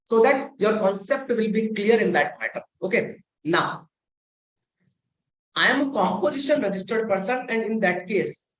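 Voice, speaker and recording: A man's voice: sits high, animated, normal speed, fairly bright tone. Indian-accented male tutor for a live webinar, repetitive and methodical, roomy echo on the recording. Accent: Indian English. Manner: explains step by step with many repetitions, mixing in Hindi. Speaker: webinar instructor. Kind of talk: live online tax lecture. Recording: echoey room sound.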